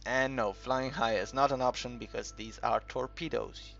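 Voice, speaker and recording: A man giving casual, unscripted game commentary in a halting, rambling way, as if thinking aloud while he explains things. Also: mid-range voice, fairly even intonation, vocal fry, a bit bright. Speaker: gaming video creator narrating a tutorial. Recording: dull lo-fi home recording, music behind.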